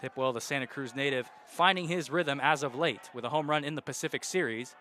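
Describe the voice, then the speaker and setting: A young man calling baseball play-by-play on radio, walking through counts and base runners in a steady flow. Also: mid-range voice, a little fast, a little hiss or hum. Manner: steady count-and-situation narration, rising loud on hits. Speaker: play-by-play announcer.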